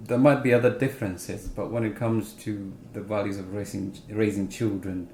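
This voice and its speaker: A man talks through family matters in a measured, unhurried way. His voice sits on the low side. The echoey room carries faint background noise. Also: vocal fry. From East Africa. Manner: measured, explains calmly. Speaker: guest, father. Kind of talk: casual conversation.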